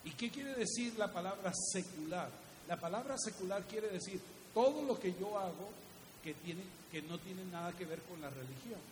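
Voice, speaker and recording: A man's voice: high voice, lively intonation, normal speed. A man preaching a didactic sermon, posing rhetorical questions and repeating key phrases. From Guatemala. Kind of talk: teaching sermon. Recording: echoey hall reverb with faint hiss.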